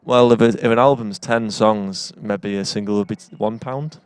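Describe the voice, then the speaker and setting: A man's voice: fairly low pitch, moderate pace, smooth, dry recording. A man from England, chatting playfully about his band outdoors. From northeast England. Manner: joking, relaxed, playful. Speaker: band frontman. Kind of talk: casual band chat.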